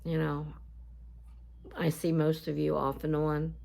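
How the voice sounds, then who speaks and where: A woman with a scratchy voice talking casually to her viewers in a vlog, halting and full of fillers. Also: low-pitched, completely flat delivery, gravelly, dark tone. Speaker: YouTuber. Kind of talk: casual talk to camera.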